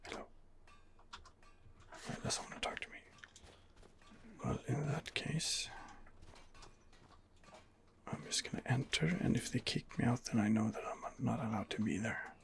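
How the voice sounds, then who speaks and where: A man softly narrating an ASMR game playthrough in character, hesitant and musing to himself in a hushed voice. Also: mid-range voice, measured pace, gravelly, long pauses, background noise. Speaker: host of a roleplay gameplay series.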